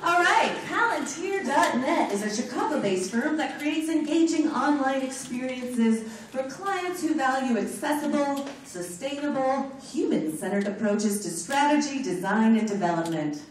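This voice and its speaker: A woman announcing sponsors at a conference, loud and playful, joking between the scripted parts. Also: sits high, moderate pace, hardly any pauses, far from the mic. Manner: loud, animated, joking asides. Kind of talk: playful live announcements to an audience. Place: large conference room with an audience. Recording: echoey hall recorded from afar, crowd noise.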